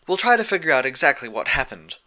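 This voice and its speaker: A man voicing a serene, motherly female pony ruler with a gentle, gracious and measured manner. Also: medium pitch, sing-song, voice pushed up. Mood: reassuring.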